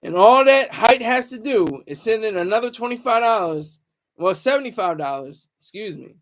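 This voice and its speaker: A man's voice: high voice, animated, normal speed, fairly bright tone. A man hosting a casual livestream, talking to viewers in a rambling way and repeating phrases for emphasis. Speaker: livestream host.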